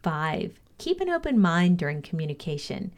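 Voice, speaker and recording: A woman reading a motivational lesson aloud as a co-host, in a measured, instructive way. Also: fairly low pitch, very animated, a little mellow.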